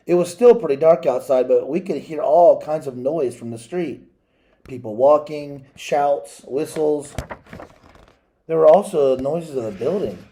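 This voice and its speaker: A man reading a children's picture book aloud as a storyteller, in a measured, dramatic way with pauses and asides to listeners. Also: a little high, dark tone.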